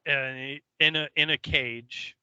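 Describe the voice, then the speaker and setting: A man with a very bright, tense voice talks through a casual recap, halting often and moving slowly. He is hesitant and loose with swearing. The sound is somewhat dull and echoey. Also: fairly high pitch, steady tone, clear, resonant tone. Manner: halting, hesitant, foul-mouthed. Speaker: podcast co-host.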